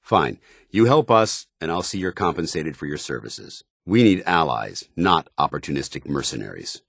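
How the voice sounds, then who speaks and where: An American actor playing a stern, disciplined armored soldier in a video game, speaking in a formal, clipped, commanding way. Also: male voice, extremely low pitch, big pitch swings, normal speed, creaky, dry recording, clean studio sound, heavily acted. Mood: formal, authoritative. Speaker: video game companion character. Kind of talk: scripted game dialogue and combat barks.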